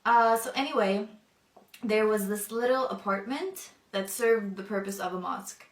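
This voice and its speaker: A young woman, not a native English speaker, telling her personal testimony thoughtfully, with many pauses and fillers. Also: mid-range voice, echoey room, far from the mic. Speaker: convert telling her story.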